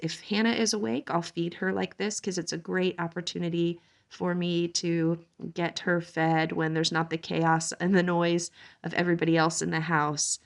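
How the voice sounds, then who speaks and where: A woman in her thirties gently narrating a homesteading family's day as a conversational vlog voice-over, with frequent "um" fillers. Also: on the low side, gravelly. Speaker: homesteading vlogger and mother. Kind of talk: relaxed voice-over narration of a daily-life vlog.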